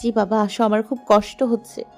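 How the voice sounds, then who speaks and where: A woman's voice: medium pitch, flat delivery, brisk, a little mellow, natural voice. A woman playing a frail, suffering young daughter in an audio story drama, with music behind her. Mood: pained, pleading.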